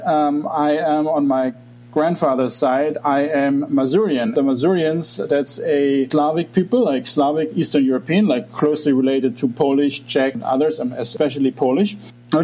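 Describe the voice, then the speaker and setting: Halting German-accented man in his forties. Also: fairly high pitch, darkish tone, slight background noise, rough recording. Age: 40s. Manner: thoughtful, halting, many restarts and fillers. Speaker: guest researcher. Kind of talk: interview talk.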